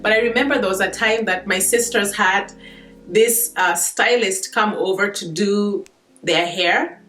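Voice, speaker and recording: A woman's voice: medium pitch, measured pace, a bit bright. A woman from Kenya in her thirties with a Kenyan accent, chatting to camera in a casual, filler-heavy way, laughing easily. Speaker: vlogger. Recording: echoey room with faint guitar music.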